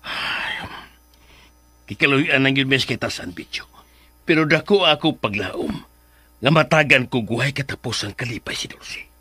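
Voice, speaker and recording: Anguished, bright, husky father. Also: medium pitch, unhurried, no room sound. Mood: sorrowful, resolute. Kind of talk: radio drama acting.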